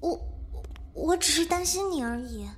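A woman's voice: very high voice, lively intonation, normal speed, background noise. A woman playing an impulsive, loyal young woman in an audio drama, emotional and insistent in her delivery. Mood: pleading, stubborn.

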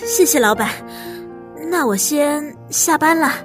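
A woman acting as a poised, ambitious young female cook in a radio drama. Her voice is fairly high and measured, with a cool cynical edge, over soft music. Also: lively intonation. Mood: polite, assured. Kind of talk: Mandarin audio drama acting with narration.

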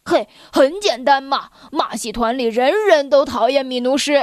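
A woman's voice: high-pitched, normal speed, no room sound, studio quality, close to an everyday voice. A woman voicing an impatient male circus lion who barks demands, in a storybook reading. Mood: bossy. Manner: barking, demanding.

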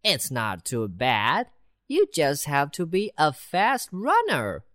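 A woman voicing a small, lively city mouse in a children's storybook, very high and squeaky. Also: slow, heavily acted. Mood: coaxing. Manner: very high, excited, bossy whisper-to-squeal.

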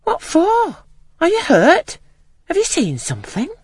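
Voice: extremely high pitch, huge pitch swings, unhurried, dry recording, studio quality, voice pushed up, full character voice. A reader voicing an anxious nursemaid, a young woman who asks urgent questions and pleads meekly. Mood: alarmed. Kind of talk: audiobook character reading.

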